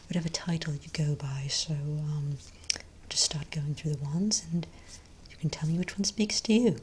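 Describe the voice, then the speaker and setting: A woman speaking in a soft, breathy near-whisper, playing a friendly magic shop owner in a gentle, rambling ASMR role play. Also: sits low.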